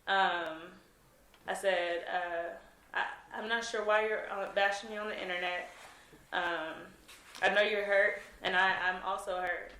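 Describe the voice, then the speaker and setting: A woman's voice: mid-range voice, fairly even intonation, unhurried, sharp tone. A young woman giving an interview, talking earnestly and hesitantly with many fillers and restarts. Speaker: interview guest. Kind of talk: candid interview testimony. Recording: roomy with faint noise.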